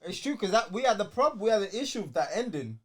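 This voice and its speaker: A male co-host with a London accent and a fairly high voice. His intonation moves around a lot as he chats casually in slang. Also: sing-song, fast talker. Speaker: podcast co-host. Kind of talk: casual chat.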